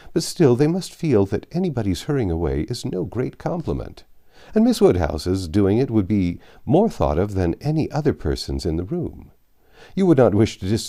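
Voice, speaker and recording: A man reading a classic novel aloud, voicing a hearty, persuasive middle-aged gentleman in a brisk, courteous manner. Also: on the low side, pitched above the performer's own voice. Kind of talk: audiobook character voice.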